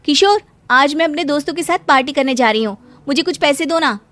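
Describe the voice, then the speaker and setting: Woman doing a high-pitched spoiled, nagging sister. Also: expressive, quick pace, a bit bright, no room sound. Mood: wheedling. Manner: petulant, nagging. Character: demanding, spoiled, whining young sister. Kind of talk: cartoon story character voice.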